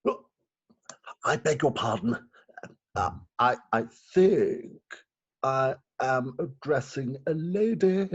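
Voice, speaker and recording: A man playing a pompous, apologetic gentleman in a staged reading of a Victorian farce, formal and hesitant. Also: mid-range voice, expressive, slow pace, long pauses, husky, muffled recording. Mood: flustered.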